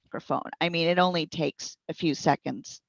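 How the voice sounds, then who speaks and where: A woman's voice: low voice, fairly even intonation, normal speed, vocal fry, dry recording. A middle-aged woman giving encouraging, conversational explanations as a guest trainer, in slightly dull audio. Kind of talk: conversational instructional interview answers.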